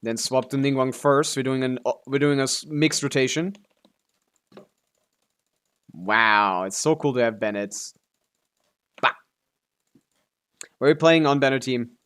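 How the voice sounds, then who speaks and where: A man's voice: mid-range voice, a little slow, pauses often, fairly bright tone, clean tone. Laid-back man chatting on a game stream. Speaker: live streamer playing a video game. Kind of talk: casual gaming stream chat and commentary.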